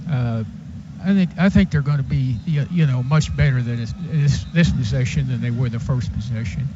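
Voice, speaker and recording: A man's voice: medium pitch, moderate pace, heavy background noise. A man working as color commentator on a football radio broadcast, giving unscripted, loosely phrased analysis. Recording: dull radio broadcast, loud background sound.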